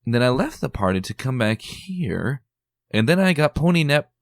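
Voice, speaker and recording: A man's voice: mid-range voice, very animated, normal speed, no room sound, studio quality, pitched above the performer's own voice. A man voicing an earnest, bookish young woman unicorn who sounds mildly exasperated. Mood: recalling.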